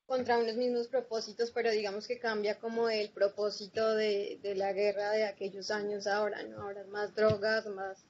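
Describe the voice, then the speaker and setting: Even-toned woman, muffled recording. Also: medium pitch, normal speed, few pauses. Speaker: seminar participant. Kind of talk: informal explanatory answer. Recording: muffled, faintly noisy recording.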